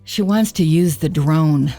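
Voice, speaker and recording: Slow, lowish-voiced female detective's sidekick. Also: dark tone, soft background music. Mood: matter-of-fact. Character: game young woman, a detective's curious sidekick. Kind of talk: radio drama dialogue.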